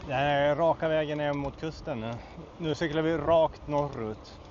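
Relaxed Ålandic man narrating a bike ride. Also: fairly high pitch, lo-fi sound, a little hiss or hum. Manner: relaxed, switches between Swedish and English, points out sights along the route. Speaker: vlogger and tour guide. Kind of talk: casual travel narration while cycling. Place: outdoors on a bicycle along country roads.

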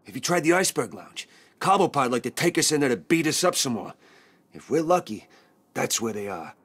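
An actor playing a tough, resolute police squad leader in a video game, blunt and earnest, with an American accent. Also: male voice, mid-range voice, sing-song, brisk, fairly bright tone, breathy edge. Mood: weary, relieved. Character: tough, resolute male police officer leading his squad.

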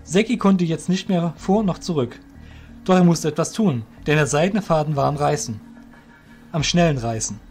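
A man narrating a scripted fantasy audio drama as a storyteller, with measured delivery. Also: a little high, a little mellow, soft background music.

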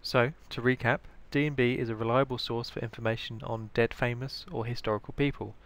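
A man's voice: mid-range voice, fairly even intonation, fairly quick, fairly bright tone. A British man giving scripted instructional narration as the presenter of a training tutorial. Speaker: information librarian, tutorial presenter.

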